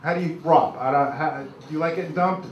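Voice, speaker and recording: An older man with a New York accent does halting, self-deprecating, rambling stand-up comedy. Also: fairly high pitch, distant mic. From Brooklyn, New York, USA. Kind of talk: live stand-up comedy, crude jokes. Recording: dull, noisy, echoey club recording from afar.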